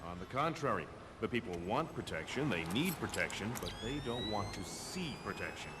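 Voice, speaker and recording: An actor playing a persuasive male corporate executive in a video game cutscene, measured and articulate. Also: lowish voice, big pitch swings. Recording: clear vehicle-like background noise.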